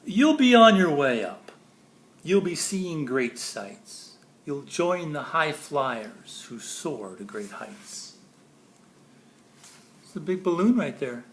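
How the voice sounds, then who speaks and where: A man reads a children's story aloud in an echoey room. His voice sits a little high and swings widely in pitch. He pauses expressively between phrases and talks directly to his listeners. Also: very animated. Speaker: storybook reader. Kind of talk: animated read-aloud of a children's picture book with chatty asides.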